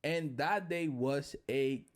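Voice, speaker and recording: A man's voice: a little high, takes it slowly. A man from the Dominican Republic hosting a podcast, chatting casually with loose, profane, joking talk. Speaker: podcast host. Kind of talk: casual chat.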